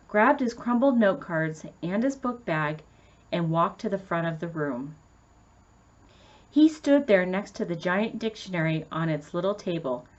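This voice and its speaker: A woman's voice: lowish voice, muffled recording. A woman narrating a children's story in a scripted read-aloud style.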